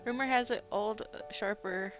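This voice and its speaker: A woman's voice: mid-range voice, unhurried, lo-fi sound, music in the background, natural voice. A woman voicing a young female reporter who shares rumors in a chatty, knowing way. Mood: gossipy.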